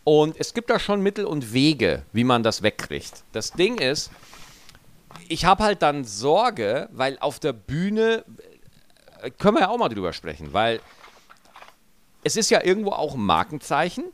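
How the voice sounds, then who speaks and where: A man with a regional German dialect and a rolled R, telling stories in a relaxed, joking podcast chat. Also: a little high, unhurried. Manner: lively storyteller, joking. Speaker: podcast co-host. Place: studio, feet up on desk.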